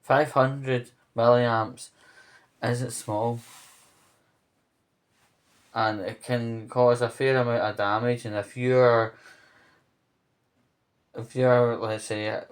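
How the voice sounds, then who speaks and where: A man's voice: mid-range voice, flat delivery, very slow, pauses often. A man with a Glasgow-area Scottish accent giving an earnest, hesitant, informal update to camera from his kitchen at home. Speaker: vlogger.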